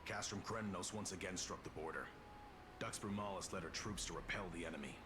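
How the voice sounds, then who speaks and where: A man playing a dutiful, gruff city guard in a video game, delivering a clipped, formal military report. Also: low voice, a little fast, background noise, lightly acted. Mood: businesslike, grave. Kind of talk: dramatic video game voice acting.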